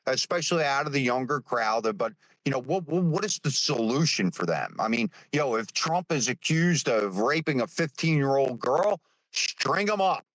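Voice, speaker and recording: A man in his fifties talks in a plain, middle-pitched voice, stopping and repeating words as he gathers his thoughts. The sound is close and dry, with no room around it. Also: very animated, fairly bright tone, no room sound, low-quality recording. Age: 50s. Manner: halting, repeats words, many fillers. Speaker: speaker on a live stream. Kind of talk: casual opinion talk.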